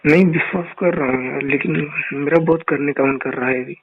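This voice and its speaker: A man's voice: a little high, steady tone, fairly quick. Man on a noisy, tinny phone line. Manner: terse, mostly short murmured replies. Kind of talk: casual private phone conversation. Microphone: phone call. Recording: thin, noisy phone call recording.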